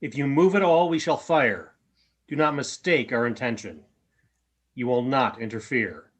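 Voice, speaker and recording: A man playing a stern, resolute alien guardian in a radio play, speaking in formal, measured pronouncements. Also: medium pitch, slightly rough. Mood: firm.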